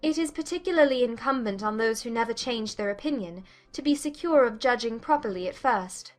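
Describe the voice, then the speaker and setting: A woman playing a witty, spirited young Regency gentlewoman with an arch, teasing manner in a dramatized audiobook. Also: on the high side, expressive, fairly quick, faint music behind, lightly acted. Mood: probing, earnest.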